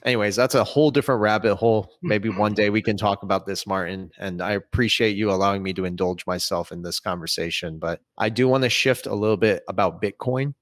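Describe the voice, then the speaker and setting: Low-voiced man hosting an interview. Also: fast, hardly any pauses, dry recording. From Saudi Arabia. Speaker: interviewer and host. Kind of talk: video interview with long opinionated questions. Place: small home room, indoors. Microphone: video call.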